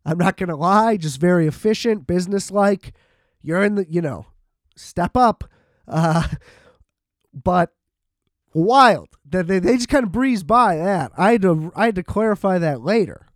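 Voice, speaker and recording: A man's voice: high-pitched, animated, unhurried, no room sound, studio quality. An American man giving a casual, rambling solo movie review, talking in an informal, profane way and repeating himself for emphasis. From United States.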